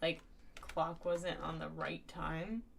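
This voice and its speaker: A woman's voice: low-pitched, flat delivery, slow, gravelly. A woman doing casual live commentary as she streams a video game. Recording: noisy mic with keyboard typing.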